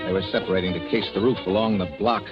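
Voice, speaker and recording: A man's voice: sits low, steady tone, rapid-fire, close to an everyday voice. An actor playing a desperate, hard-boiled American man on the run, speaking in clipped 1940s radio noir style. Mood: tense, narrating. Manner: clipped, tense first-person storytelling. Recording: muffled old radio recording, music behind.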